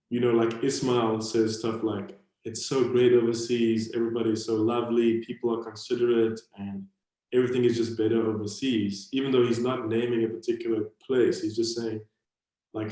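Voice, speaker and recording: South African man, low flat voice, rambling with fillers, very echoey room. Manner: rambling, hedging with many fillers. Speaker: moderator. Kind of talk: online panel conversation.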